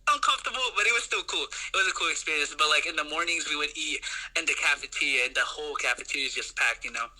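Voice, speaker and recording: A young man from the Philippines talks as a podcast guest, his voice slightly high and strikingly bright and forceful. He rambles happily through his stories, full of fillers and restarts. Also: fast, rough recording. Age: young adult. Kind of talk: casual chat.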